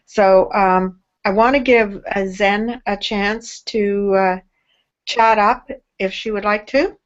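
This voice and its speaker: A woman hosting an online call, thanking the presenters in a halting, enthusiastic way. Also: medium pitch, fairly even intonation. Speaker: webinar host. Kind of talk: casual hosting. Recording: dull lo-fi web call audio.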